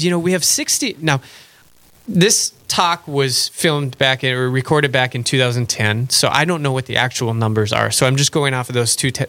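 A man hosting a radio talk show speaks with a bright, ringing tone. He chats in a loose, friendly way and laughs easily at his own jokes. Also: medium pitch. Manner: chatty, self-deprecating, laughs easily. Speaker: radio host. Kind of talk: casual faith radio chat.

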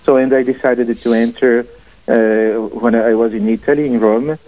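A man in his forties with a Portuguese accent, a priest guest speaking haltingly, with many fillers and restarts, on a radio interview. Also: mid-range voice, fairly even intonation. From family from Portugal. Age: 40s. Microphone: phone call into studio. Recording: phone line.

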